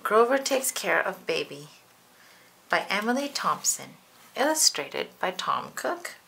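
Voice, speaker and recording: A woman reading a children's picture book aloud, expressive and animated. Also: medium pitch, unhurried, gravelly, a little hiss or hum, low-quality recording. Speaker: storybook reader.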